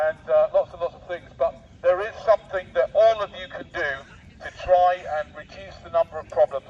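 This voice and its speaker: A British man giving a mock-serious, provocative public speech through a megaphone in a deadpan manner, addressing passers-by directly. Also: a little high. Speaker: street speaker with megaphone. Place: outdoor public park. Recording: dull megaphone outdoors, distant, faint noise.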